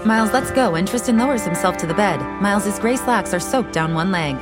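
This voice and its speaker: A woman reading scripted audio description in a calm, even, precise way. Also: medium pitch, a little fast. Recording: voice over soundtrack music.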